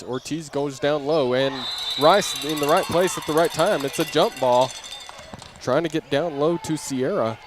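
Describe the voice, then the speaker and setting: A man doing live play-by-play basketball commentary in clipped, running phrases from a gym. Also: medium pitch. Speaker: play-by-play announcer. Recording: noisy gym ambience, bouncing ball. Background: clear game noise and ball bounces.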